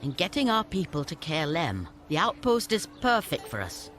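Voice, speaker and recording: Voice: medium pitch, huge pitch swings, moderate pace, a bit bright. An actor playing a shrewd, condescending scavenger boss making deals in a video game. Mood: businesslike. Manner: condescending, businesslike. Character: brisk, shrewd scavenger boss, sardonic dealmaker.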